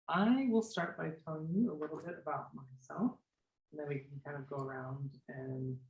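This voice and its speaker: Soft-spoken leader, even middle pitch, greeting a small class in a church room. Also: creaky, highs cut off. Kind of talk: informal class introduction.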